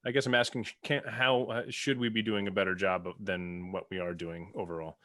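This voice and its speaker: A man's voice: low voice, flat delivery, brisk, pushed and bright, no echo, clean studio sound. A man hosting a casual podcast discussion, feeling his way through sentences and rephrasing as he goes. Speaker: podcast host and sound designer.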